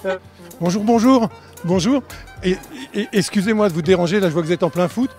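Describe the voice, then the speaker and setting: A man's voice: high-pitched, a little fast, a little mellow, faint music behind. A man casually questioning passers-by on the street as a presenter, with a playful, teasing manner. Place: outdoors in a town street.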